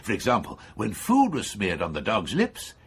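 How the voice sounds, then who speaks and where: An actor playing an eager, persuasive neurosurgeon with a macabre obsession in a radio horror drama, speaking insistently and clinically with a British accent. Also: male voice, fairly low pitch, huge pitch swings, normal speed, fairly bright tone, full character voice. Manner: insistent, enthusiastic, coolly clinical lecturing. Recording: faint background noise.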